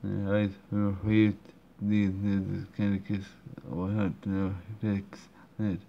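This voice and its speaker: Hesitant gravelly man, muffled recording. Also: fairly low pitch, flat delivery, slow. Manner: hesitant, many fillers and restarts. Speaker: player commenting on a game. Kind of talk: halting casual commentary. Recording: dull muffled recording, faint room noise.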